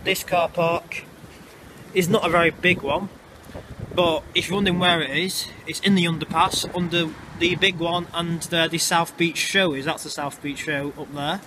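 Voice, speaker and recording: A man talks his way through a walking tour, chatty and a little halting as he explains things. His voice sits a touch high, and the camera mic picks up plenty of street and traffic noise around him. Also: fairly even intonation. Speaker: vlogger. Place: outdoors in urban streets and underpass. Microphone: handheld camera mic. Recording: noisy outdoor street sound. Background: traffic and street ambience.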